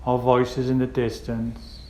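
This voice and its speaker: Even-toned man guiding meditation, echoey room. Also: mid-range voice. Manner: gentle, unhurried instruction with pauses. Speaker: meditation guide. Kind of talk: guided meditation narration. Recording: roomy echo with faint background noise.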